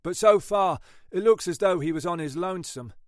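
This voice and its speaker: A man's voice: high voice, fairly quick, no echo, studio quality, voice pushed up, natural voice. A man voicing an easygoing, doubtful male police colleague with an offhand manner.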